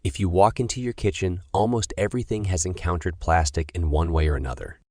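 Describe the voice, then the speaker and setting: A man's voice: deep voice, steady tone, a little fast, a little mellow, no room sound, studio quality. A man reading scripted passages aloud as narration. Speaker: reader of practice passages.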